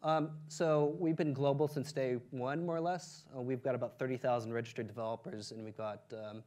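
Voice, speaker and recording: Brief: male presenter, mid-pitch, energetic tech pitch, filler-heavy delivery. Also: fairly quick. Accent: non-Ukrainian English. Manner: energetic, many fillers, lifts pitch on key points. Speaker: startup presenter.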